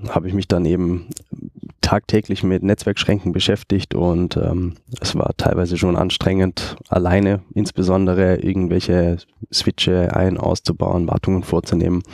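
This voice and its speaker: A man in his thirties from Germany, co-founder of a company, explaining his product at length in a relaxed interview with frequent fillers. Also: low voice, gravelly, dry recording, studio quality. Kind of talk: casual interview talk.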